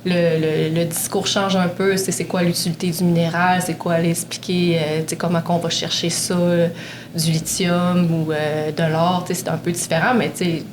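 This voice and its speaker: A woman with a Quebec French accent, speaking haltingly as a panel guest with many fillers and repeats. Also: lowish voice, level tone. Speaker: panel guest, community relations director. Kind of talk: conversational interview. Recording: noisy room recording.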